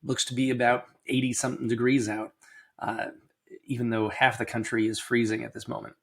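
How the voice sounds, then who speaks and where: Man, middle-aged, slightly crackly voice, mid pitch, candid, measured, casual podcast host. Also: flat delivery, gravelly. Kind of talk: casual personal health podcast monologue.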